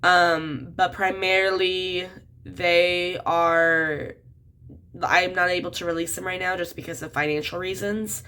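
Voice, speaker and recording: A young woman in her twenties talks casually to her viewers in a lowish voice. She is a bit sick, so her voice sounds slightly off. She rambles with plenty of "um" and "like" between thoughts. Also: bright, forceful tone, a little hiss or hum. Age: 20s. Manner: chatty, many "um" and "like" fillers. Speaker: children's book author. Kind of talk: casual talk to camera.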